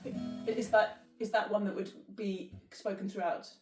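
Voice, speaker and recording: A woman's voice: medium pitch, steady tone, normal speed, recorded from a distance. A woman, a voice coach who chats in a rambling, self-deprecating way. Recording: echoey room, off-mic, loud music.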